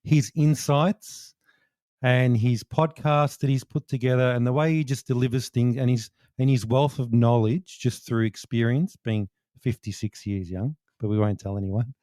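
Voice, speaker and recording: A man's voice: mid-range voice, mellow, no echo, studio quality. Rambling joking podcast host man. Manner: joking, rambling wrap-up with many fillers. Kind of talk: casual interview chat.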